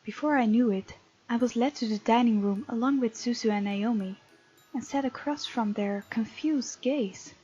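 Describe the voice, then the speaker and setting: A woman's voice: fairly high pitch, moderate pace, warm tone, breathy edge. A woman hosting a let's play of a visual novel, reading the game text aloud and commenting on it. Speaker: gaming host. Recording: dull lo-fi mic, game music underneath.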